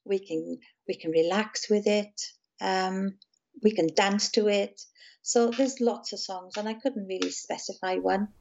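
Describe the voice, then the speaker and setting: A woman from South Wales speaks in a soft, gentle South Welsh lilt as an interview guest. She chats calmly and patiently at an even, middling pitch. The recording sounds a little dull and lo-fi. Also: measured pace, gravelly. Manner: gentle, calm, chatty with small laughs. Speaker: guest, musician and author. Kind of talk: casual interview chat.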